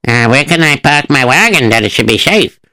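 A man's voice: medium pitch, expressive, fairly quick, pushed and bright. Man putting on a fretful farmer. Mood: cheerful. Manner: fretful, sing-song, wailing. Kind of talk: storytelling.

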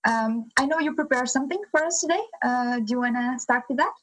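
Woman, podcast host, fairly high voice, scripted interview delivery. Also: fast talker, a bit bright, low-quality recording. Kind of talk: scripted introduction and interview questions.

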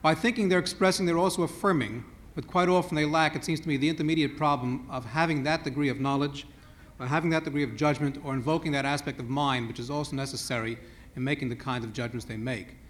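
A man's voice: fairly high pitch, fast. A man responding at a panel discussion, precise and argumentative, carefully drawing distinctions. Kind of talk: analytical debate remarks.

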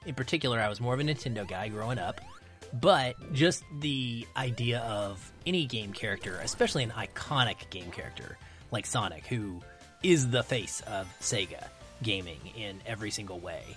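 A man's voice: fairly low pitch, lively intonation, normal speed, fairly bright tone, faint music behind. A man speaking as a podcast guest, giving a casual film opinion and repeating phrases for stress. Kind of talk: casual film review chat.

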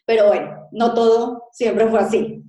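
A woman's voice: on the high side, unhurried. Colombian-born woman telling stories, echoey room. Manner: lively storytelling, acts out dialogues. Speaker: guest, cake designer. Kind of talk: conversational interview.

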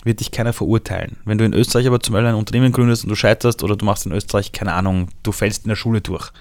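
A man from Iran with a Viennese accent, telling his story in a relaxed interview with lively, animated delivery. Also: fairly low pitch, very fast, no echo. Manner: lively storytelling, shifts voice for quotes.

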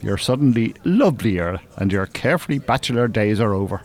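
A man's voice: lowish voice, animated, a little fast. An actor playing a sardonic, well-spoken older Dublin man, wordy and mock-formal in a radio drama.

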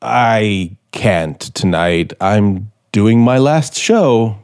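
A man's voice: lowish voice, darkish tone. An actor playing an embittered scriptwriter in a 1950s radio thriller, theatrical and mockingly polite as he coolly plots murder. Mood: tense, evasive. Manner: theatrical, deliberate, mockingly polite, narrating his own actions. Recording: vintage 1950s radio broadcast with music.

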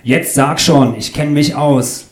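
A man's voice: sits high, sing-song, normal speed, warm tone, reverberant, pitched above the performer's own voice. A man voicing a chatty, oblivious, eager male character full of questions in a comic story reading. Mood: nosy, eager.

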